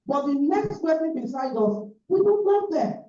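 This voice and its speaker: A woman's voice: sits high, expressive, moderate pace, dark tone. A woman from Nigeria speaking earnestly and emphatically at a club meeting, recorded from across the room. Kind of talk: impassioned remarks at a meeting. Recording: echoey room, distant dull sound.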